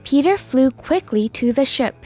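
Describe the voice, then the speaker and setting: A woman's voice: high-pitched, animated, normal speed, a little mellow, faint music behind. A woman narrating a scripted children's story as a storyteller.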